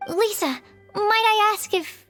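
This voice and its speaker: A woman's voice: very high voice, steady tone, slow pace, a bit bright, faint music behind, full character voice. A woman voicing a shy, studious young female alchemist, polite and tentative, in a video game. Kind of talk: scripted video game voice acting.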